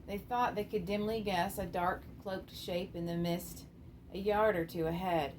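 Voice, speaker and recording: A woman's voice: mid-range voice, fairly even intonation, measured pace, darkish tone. A woman reading scripted story narration for an amateur radio theater piece. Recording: clearly noisy amateur recording.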